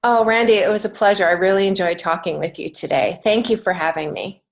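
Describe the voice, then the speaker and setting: A woman's voice: medium pitch, brisk. An American woman giving conversational interview answers as a podcast guest, speaking in an earnest, encouraging way. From United States. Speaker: podcast guest, children's book author and teacher.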